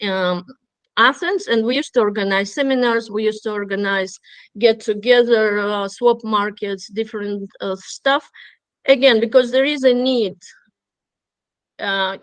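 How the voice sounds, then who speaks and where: A woman's voice: mid-range voice, no room sound. Middle-aged woman, not an english speaker, lots of ums and restarts, dry close sound. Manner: hesitant, many fillers and restarts. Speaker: webinar speaker. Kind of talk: conversational webinar talk.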